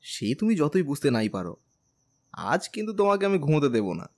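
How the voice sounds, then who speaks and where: A man's voice: a little high, very animated, normal speed. A young man playing a caring, playful husband who coaxes and teases in a romantic audio story. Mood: playful, insistent. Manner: teasing, coaxing.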